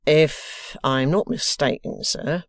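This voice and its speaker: Brief: a smug, ingratiating man with a slow, courteous delivery, dry close sound, put on by a reader. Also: medium pitch, dry recording, in a whisper, natural voice. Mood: obsequious. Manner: slow, polite, sir-peppered. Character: deferential, self-satisfied man with a wooden leg. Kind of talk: audiobook character reading.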